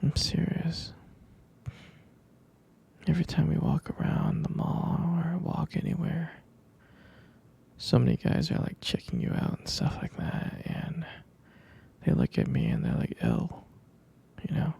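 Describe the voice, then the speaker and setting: A man playing a sleepy, tender young boyfriend in an ASMR roleplay, murmuring soft, hesitant reassurance in a breathy, husky whisper. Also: on the high side, wildly swooping pitch, a little slow, long pauses, full character voice. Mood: reassuring.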